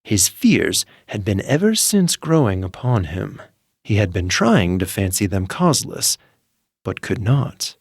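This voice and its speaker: A man narrating a dark story in a dramatic, slow reading, dropping to hushed murmurs and rising to sudden intense outbursts. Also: lowish voice, very animated, vocal fry, no echo, studio quality. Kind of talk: dramatic audiobook reading for sleep.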